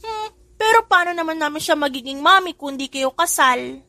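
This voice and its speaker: A woman voicing a cheeky, mischievous little child with a bright manner. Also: high-pitched, expressive, normal speed, dry recording, voice pushed up, heavily acted. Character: small mischievous kids, quadruplets.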